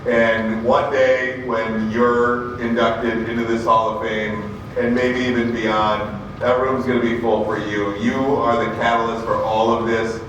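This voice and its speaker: A loud-voiced man giving an earnest tribute speech to his former coach at a banquet. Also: mid-range voice, flat delivery, measured pace, highs cut off. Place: banquet room. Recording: echoey room, distant mic, noise.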